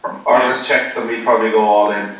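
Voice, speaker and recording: A man being interviewed before a poker game, joking in a deadpan, rambling way with many ums. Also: fairly low pitch, a little fast. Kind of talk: joking casual chat. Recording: echoey room, voice from far off, faint noise.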